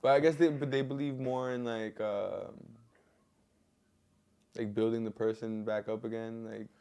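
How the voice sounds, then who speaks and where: A mellow, smooth-voiced man from Venezuela who hosts a podcast. He talks in a relaxed, reflective way and asks his guest open questions. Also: medium pitch, a little slow. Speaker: podcast host. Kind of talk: casual conversation.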